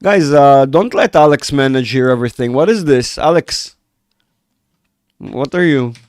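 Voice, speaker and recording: A man hosting a live market chat with viewers, rambling and restarting sentences, his voice rough from a cold. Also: medium pitch, measured pace, no room sound, clean studio sound. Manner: rambling, self-interrupting, reads chat aloud. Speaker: market analysis livestream host. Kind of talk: casual livestream chat with viewers.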